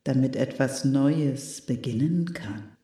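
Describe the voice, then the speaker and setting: Woman doing a candle spirit, super slow and solemn, kinda echoey. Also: a bass voice, mellow. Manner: slow, solemn, allows no objection. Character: calm commanding talking candle flame, keeper of fire.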